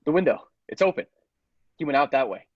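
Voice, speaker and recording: A man playing a grave, persuasive scientist's assistant in a radio play, deliberate and theatrical, with secretive zeal. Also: fairly high pitch, expressive, no echo, dull audio. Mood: alarmed, urgent. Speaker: podcast actor.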